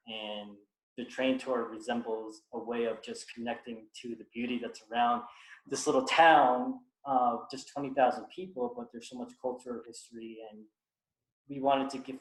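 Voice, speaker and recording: Earnest man on an echoey call. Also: mid-range voice. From United States. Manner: earnest, halting, many restarts. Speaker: guest speaker. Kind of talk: reflective personal talk on a video call.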